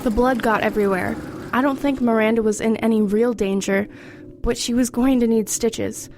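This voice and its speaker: Woman acting anxious teenage girl, high voice. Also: steady tone, normal speed, darkish tone, close to an everyday voice. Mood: uneasy narrating. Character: anxious, defiant teenage girl, haunted narrator. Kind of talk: audio horror drama with confessional narration. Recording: background noise and music under voice.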